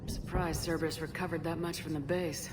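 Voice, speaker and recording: A woman's voice: low voice, flat delivery, a little fast, background noise, close to an everyday voice. A woman playing a tough, wry military commander in a video game, speaking in a dry, direct way. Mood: troubled, reflective. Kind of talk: video game cutscene acting. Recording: long echoing hall, music behind.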